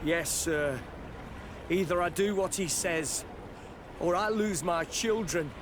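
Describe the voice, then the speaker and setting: A man's voice: high voice, slow pace. A man playing an evasive, beleaguered customs officer in a video game, hesitant, stammering and deferential. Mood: desperate. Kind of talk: video game cutscene acting. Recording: noisy outdoor ambience behind the voice.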